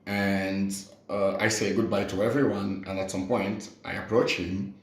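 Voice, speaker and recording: A man speaks in a slightly low voice in a roomy, echoey space. He chats on a podcast in an earnest, reflective way, with a casual "you know" dropped in often. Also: fairly even intonation, measured pace.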